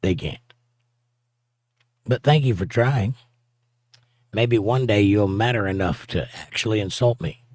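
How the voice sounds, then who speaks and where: A man's voice: fairly low pitch, measured pace, pauses often, a little mellow, no echo, clean studio sound. A man with a Southern accent hosting a livestream, reading viewer comments in a casual, teasing way and chuckling often. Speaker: livestream host reading chat. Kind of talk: casual chat.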